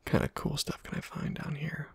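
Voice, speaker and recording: A man giving casual, halting ASMR game commentary in a soft, breathy, whispery voice, murmuring as if to himself. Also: dry recording. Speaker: gaming video host.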